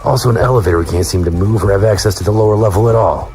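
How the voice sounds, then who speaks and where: A man's voice: lowish voice, racing, a little mellow, soft background music, natural voice. A man playing a stern, confrontational police detective in an audio drama. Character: gruff, indignant male police detective.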